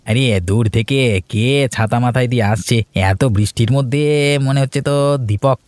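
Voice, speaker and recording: A man's voice: mid-range voice, steady tone, normal speed, darkish tone, close to an everyday voice. A man voicing a friendly, chatty village neighbour in a moral cartoon. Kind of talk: Bengali moral cartoon dubbing.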